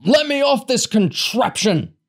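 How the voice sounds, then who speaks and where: A huge, pompous drunk in a storybook, groggy and full of bluster. The voice is strained well up in pitch and swoops about dramatically. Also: male voice, sing-song, measured pace, full character voice. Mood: indignant bellowing. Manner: groggy, indignant bellowing and moaning.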